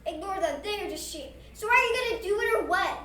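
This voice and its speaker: A woman's voice: very high voice, animated, brisk, bright, forceful tone, heavily acted. A woman playing a sly wolf in a children's stage fable, teasing and falsely friendly as it coaxes. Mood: coaxing. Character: sly, coaxing wolf tricking a young shepherd. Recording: distant, echoey room with background noise.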